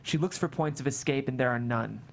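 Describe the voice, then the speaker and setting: A woman reading a script's stage directions as an expressive narrator. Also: rumbling low, fairly quick.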